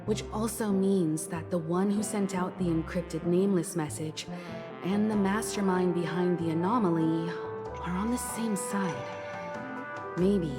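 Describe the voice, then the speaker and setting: Woman playing mature sci-fi game mentor, lower pitch, level-headed, thoughtful, measured, clear background music. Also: lightly acted. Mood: concerned, thoughtful. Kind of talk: dramatic video game dialogue. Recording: music behind.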